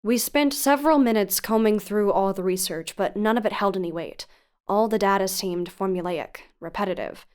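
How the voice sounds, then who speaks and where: An actress plays a careful female investigator in an audio drama. She has a mid-pitched voice and an articulate, earnest delivery, reasoning like a researcher who weighs every risk. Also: normal speed, darkish tone, smooth, lightly acted. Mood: analytical. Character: cautious, analytical female researcher and investigative podcaster. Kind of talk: audio drama acting, dialogue and narration.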